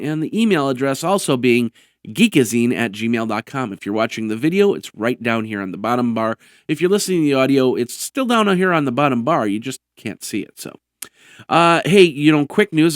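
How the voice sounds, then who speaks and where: A hoarse man hosts a live tech news show from his desk mic, his voice raspy and a little worn. He talks with bouncy energy, rambling and filling gaps with "uh" and repeated words. The recording is dry and close, with no room sound. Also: mid-range voice, dry recording. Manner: energetic, rambling with many fillers.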